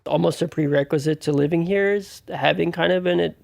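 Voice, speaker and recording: Very creaky man, fairly high voice. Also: brisk, darkish tone, dry recording. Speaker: interviewee, house resident. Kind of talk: casual explanatory interview.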